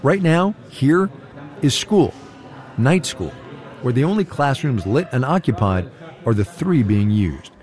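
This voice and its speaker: A man's voice: medium pitch, lively intonation, measured pace, a little mellow. A man working as a radio reporter, reading scripted narration in a conversational storytelling way with short, punchy phrases.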